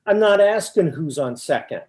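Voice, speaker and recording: A performer acts out a confused, increasingly exasperated questioner in a stage comedy double act. The voice sits at a middle pitch and pushes insistently through each question. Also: expressive, normal speed, a little mellow, natural voice. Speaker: amateur readers theater performer. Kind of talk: staged comic dialogue reading.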